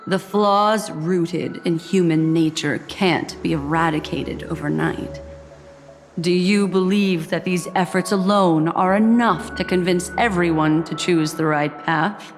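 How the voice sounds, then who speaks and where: Slow, poised, enigmatic woman. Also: on the low side. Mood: pensive, doubtful. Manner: deliberate, grave, poised. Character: composed, enigmatic, sceptical mystic woman. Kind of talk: video game voice acting. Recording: long reverb, music underneath.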